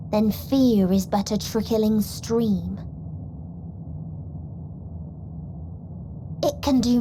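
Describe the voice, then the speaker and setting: A female game villain of serene despair, acted by a woman, speaks with solemn, cold detachment. Her delivery is very slow and sits at a middling pitch, with music clearly playing behind her. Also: lightly acted. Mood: cold disdain. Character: serene, despairing female villain bent on ending all life. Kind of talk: scripted video game cutscene acting.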